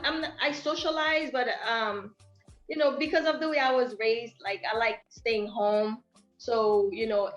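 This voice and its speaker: A woman's voice: on the high side, roomy sound, dull audio. A young woman with a thick Haitian accent, a singer being interviewed, talking in an upbeat, bubbly way with lots of fillers. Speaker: guest singer. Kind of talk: interview conversation.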